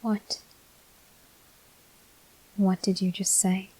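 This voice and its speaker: A woman voicing a brooding, weary male vampire soldier in an ASMR roleplay, in a breathy, husky whisper with slow, grave delivery. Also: mid-range voice, flat delivery, dark tone, rough recording. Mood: stern, disbelieving.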